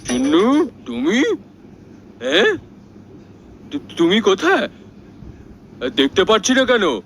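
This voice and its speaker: Man playing a high-pitched, blustering village wrestler. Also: very animated, slow pace, creaky, fairly bright tone, slight background noise, exaggerated voice. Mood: baffled, alarmed. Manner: scolding, exclaiming, repeating himself. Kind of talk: cartoon dialogue acting.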